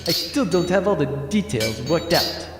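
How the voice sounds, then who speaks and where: Haughty scheming space major male actor, pompous sci-fi comedy delivery, lively pitch, echoey sound. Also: moderate pace. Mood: guarded, grudging. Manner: pompous, sarcastic. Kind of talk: comedic sci-fi audio drama. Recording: echoey reverberant room, music underneath.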